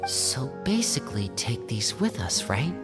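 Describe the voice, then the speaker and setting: A woman's voice: rumbling low, very animated, a little slow, music in the background, full character voice. A woman voicing a poised, courteous young stage performer in a fantasy game, composed and polite. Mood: questioning. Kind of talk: scripted game voice acting.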